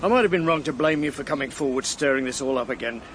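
An actor with a British accent playing a gruff, blunt police superintendent in a radio drama, stern and probing. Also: male voice, medium pitch, fast talker, a bit bright, close to an everyday voice. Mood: accusing. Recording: noisy background ambience.

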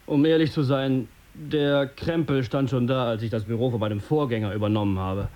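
A man's voice: medium pitch, steady tone, normal speed, highs cut off, natural voice. A condescending middle-aged editor acted by a man in a radio drama. He lectures like someone in charge, pompous and sarcastic, with little patience for whoever is in front of him. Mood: smug, sardonic. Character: condescending, self-important male radio editor and family patriarch. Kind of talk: German radio drama acting.

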